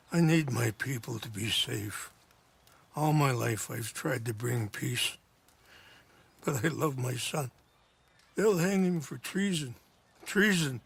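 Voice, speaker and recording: An actor playing a weary, dignified old chief and worried father in a video game drama, solemn and measured. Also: fairly high pitch, vocal fry, slightly rough, heavily acted. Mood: pained indignation.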